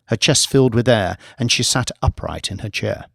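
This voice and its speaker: A man's voice: fairly low pitch, moderate pace, creaky, husky, dry recording, studio quality. A man reading scripted fiction as an audiobook narrator.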